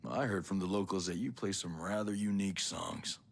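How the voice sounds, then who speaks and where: A man playing a gruff, wry special-ops soldier in a video game cutscene, dry and guarded in manner. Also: sits low, fairly quick. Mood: cool, curious.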